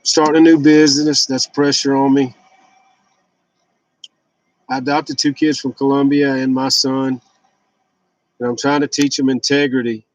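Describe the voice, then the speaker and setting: A man's voice: medium pitch, little pitch movement, measured pace, pauses often, dry recording, poor audio. A man in his fifties talking casually and candidly in a rambling, blunt, confessional personal vlog. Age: 50s. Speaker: vlogger sharing fasting and fitness journey.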